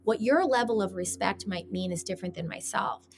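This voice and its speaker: A woman's voice: medium pitch, fairly quick, creaky. A woman marriage coach talking on a podcast, telling stories and acting out what spouses say. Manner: animated storytelling, acting out quoted spouses. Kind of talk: podcast conversation.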